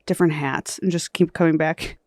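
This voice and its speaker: A woman comedian in her thirties talks as a podcast guest with wry, self-deprecating humor and easy laughter. Her voice sits a little low. The recording is dry and close. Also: steady tone, brisk, darkish tone, no echo. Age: 30s. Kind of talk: casual chat.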